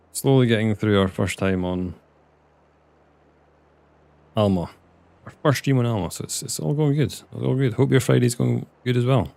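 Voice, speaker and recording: Scottish man with a gravelly low voice. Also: darkish tone, no echo. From Scotland. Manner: chatty, reads chat aloud and mutters at the game. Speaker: streamer. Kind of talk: casual live gameplay chat.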